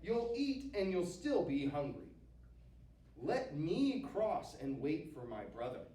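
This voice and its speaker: Man as an eager, excitable billy goat. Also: on the high side, slow. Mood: pleading, sly. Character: eager, quick-thinking, hungry middle billy goat. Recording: roomy, distant mic with faint noise.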